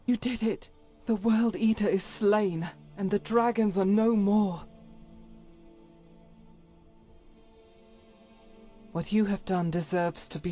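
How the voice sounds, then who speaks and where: A woman's voice: sits low, mellow. A woman playing a devout, solemn holy warrior in a fantasy game, speaking in formal, archaic and earnest phrases. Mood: awed, triumphant. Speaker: voice actor for a game companion. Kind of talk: dramatic scripted fantasy game dialogue.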